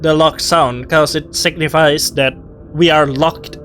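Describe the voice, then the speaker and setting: A man's voice: on the high side, fairly even intonation, a little slow, a bit bright. A man commentating live as he plays a game, musing theatrically with short wry reactions. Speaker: game streamer playing along. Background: faint game music.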